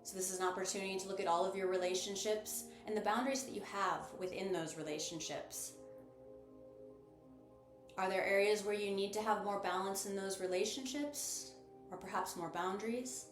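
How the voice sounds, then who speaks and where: A woman leading a guided meditation as host, speaking in a measured, instructive and gently encouraging manner. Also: mid-range voice, fairly even intonation. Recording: roomy echo with music behind. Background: music.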